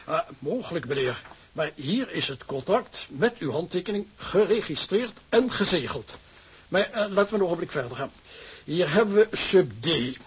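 High-voiced, husky, fussy clerk. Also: male voice, expressive, moderate pace. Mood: pedantic. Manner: formal, bureaucratic, hesitant. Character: fussy, pedantic male bookkeeping clerk. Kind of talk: Dutch radio drama acting.

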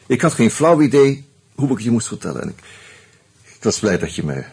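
An actor playing an easygoing amateur sleuth who talks hesitantly and narrates wryly in a Dutch radio drama. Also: male voice, medium pitch, sing-song, brisk, vocal fry, a little mellow, slightly rough, exaggerated voice. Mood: apologetic. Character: Hans, easygoing amateur sleuth and wry first-person narrator.

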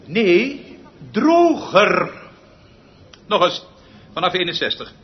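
An actor playing a pompous, exasperated, tyrannical perfectionist conductor in a radio play, emphatic and theatrical. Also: male voice, sits high, sing-song, takes it slowly, full character voice. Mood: exasperated, commanding. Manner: theatrical, emphatic, swinging from muttering to bellowing. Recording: muffled, noisy old radio play recording.

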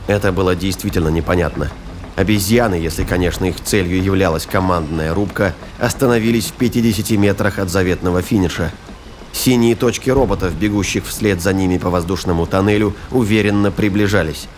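Male narrator, low pitch, dramatic scripted storytelling, sci-fi audio drama, slight background noise.

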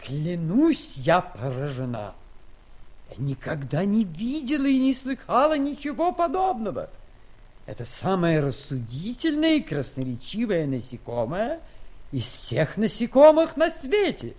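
An actress playing an imperious, playful giant queen in a theatrical, deliberate manner for a children's audio play. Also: mid-range voice, big pitch swings, darkish tone. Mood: amazed.

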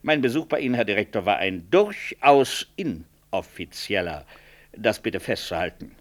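An actor playing a scheming, formally deferential medical professor, speaking in hedging, circuitous phrases in a radio drama. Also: male voice, fairly low pitch, very animated, moderate pace. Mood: affronted, stiff. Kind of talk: 1960s German radio drama acting.

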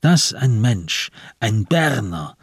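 A man giving a deliberate, solemn scripted literary narration for a radio drama. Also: medium pitch, sing-song, gravelly, husky, no room sound, studio quality.

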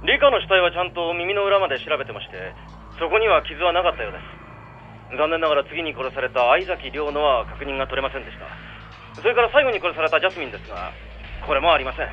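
A man's voice: on the high side, big pitch swings, quick pace, soft background music. A man playing a matter-of-fact police detective in a Japanese radio drama, heard over a telephone line. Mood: businesslike. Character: businesslike male police detective reporting on murders.

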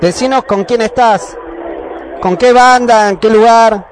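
A man with a high voice and a Buenos Aires accent hosts a radio program. He interviews in an informal, chatty style, speaking into a studio microphone. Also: measured pace, slight background noise. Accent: Buenos Aires Argentine. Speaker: radio host.

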